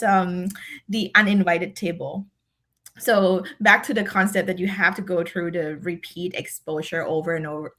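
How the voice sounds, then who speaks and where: Thai-accented woman guest, bright tone, earnest, laughs easily. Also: medium pitch. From Thailand. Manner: earnest and reflective, laughs often, repeats words while thinking. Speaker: guest, decision scientist and speaker. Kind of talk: podcast interview.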